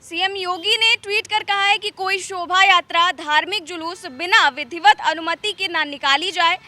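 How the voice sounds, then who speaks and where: A woman giving a news report as a reporter, explaining in a halting way with frequent hesitations. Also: very high-pitched, bright, forceful tone.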